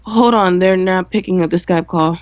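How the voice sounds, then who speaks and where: Woman acting as deadpan senior schoolgirl radio host, slightly low voice, cynical, radio play, dull lo-fi recording. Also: moderate pace, no echo, close to an everyday voice. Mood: irritated. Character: female high school senior co-hosting campus radio, dry and cynical. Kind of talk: amateur radio play, scripted campus radio announcing.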